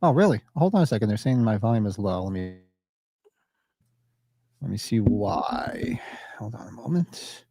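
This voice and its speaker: A man's voice: fairly low pitch, animated, normal speed, pauses often, warm tone, husky, dry recording. A man co-hosting a casual horror podcast, sniffly from allergies, rambling with plenty of fillers. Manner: rambling, laid-back, lots of fillers. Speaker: podcast co-host.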